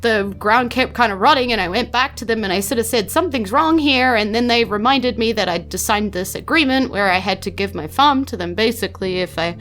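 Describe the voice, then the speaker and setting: A New Zealand woman voicing an anxious, trapped farmer in improvised tabletop role-play, halting and stammering as she explains. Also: fairly high pitch, a bit bright, close to an everyday voice. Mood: defensive, worried. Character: anxious, troubled farmer trapped by a secret agreement. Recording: music bed under the voice.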